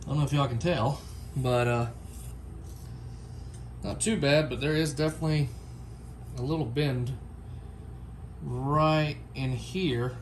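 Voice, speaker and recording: A man's voice: medium pitch, fairly even intonation, slow, long pauses. Casual man, noisy recording. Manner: informal, folksy, unscripted. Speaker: product reviewer. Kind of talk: casual unboxing and comparison vlog.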